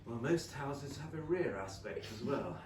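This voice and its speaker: Casting: male actor for kindly older English patriarch, dry, halting, mid-pitched; stage reading, distant mic. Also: expressive, close to an everyday voice. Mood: dryly patient. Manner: hesitant, understated, dry. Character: wry, kindly, tolerant older English gentleman father. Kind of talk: theatrical period comedy stage reading. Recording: distant echoey theatre recording, noisy background.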